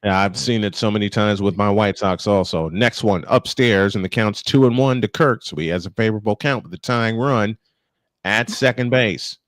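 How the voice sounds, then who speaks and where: A man's voice: fairly low pitch, moderate pace, no echo. A man doing live baseball play-by-play, folksy and chatty as he banters with co-hosts. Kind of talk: live sports commentary with casual banter.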